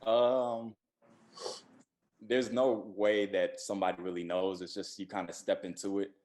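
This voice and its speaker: A man speaking as a film director in a casual interview, halting and repeating words as he thinks. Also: lowish voice, little pitch movement, darkish tone.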